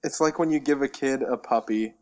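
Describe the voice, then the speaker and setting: A man's voice: medium pitch, brisk. A man co-hosting a casual podcast about games and films, talking informally and tentatively as he thinks aloud. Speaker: podcast co-host.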